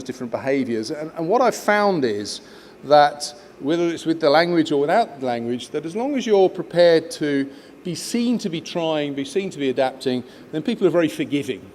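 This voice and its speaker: A man's voice: medium pitch, a little mellow, slight background noise. A man in his fifties, raised in Kenya, giving a conference speech with many fillers and restarts. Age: 50s. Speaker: guest speaker at an education conference.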